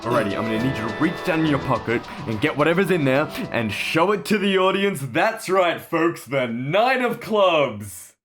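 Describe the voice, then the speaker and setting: A man's voice: a little high, expressive, moderate pace, music in the background, voice pushed up, natural voice. A man voicing a tiny, brash magician in a comedy sketch, calling out repetitive showman patter. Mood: commanding, showy.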